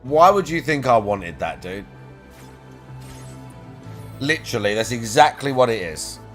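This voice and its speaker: A young man talks over his gameplay in a chatty, sarcastic way, swearing freely. His voice sits at an ordinary middle pitch. Faint music runs in the background. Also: sing-song, measured pace, a bit bright. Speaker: gaming video host. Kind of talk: casual let's play commentary.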